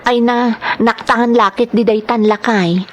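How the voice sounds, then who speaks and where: A woman's voice: a little high, steady tone, normal speed, close to an everyday voice. A woman acting a worried, scolding wife in an audio drama, exasperated but caring, with an Ilocano accent. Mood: concerned.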